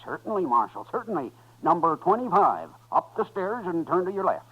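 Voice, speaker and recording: A man's voice: sits high, big pitch swings, a little fast, husky, full character voice. A man playing a nervous, fussy hotel clerk in a 1950s radio western, flustered and eager to please. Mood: obliging. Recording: dull vintage radio recording.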